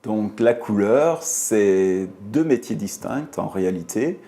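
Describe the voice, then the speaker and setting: A man's voice: on the low side, lively intonation, slow pace, warm tone, a little hiss or hum. A man in an interview, methodically explaining how coloring works in animation.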